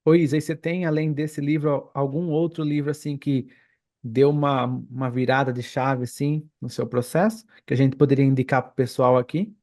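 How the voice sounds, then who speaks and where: A man's voice: medium pitch, fairly even intonation, normal speed, a little mellow. A Brazilian man hosting a podcast, asking questions in a casual, conversational style. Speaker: podcast host. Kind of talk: casual interview chat.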